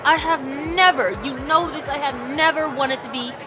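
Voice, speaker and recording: A woman's voice: fairly low pitch, fairly quick, a crowd in the background, natural voice. Actress as a sardonic, jittery young heroine who swears a lot, music under her. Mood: panicked. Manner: sarcastic, swearing. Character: sarcastic, panicky young woman, quick-tempered. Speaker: first-person narrator and lead. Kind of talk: audio drama acting with narration.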